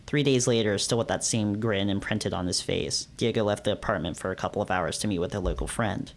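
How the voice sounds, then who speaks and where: A man playing the grave, hushed narrator of a creeping horror story in a visual novel. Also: fairly low pitch, a little fast, pitched below the performer's own voice, natural voice. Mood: ominous.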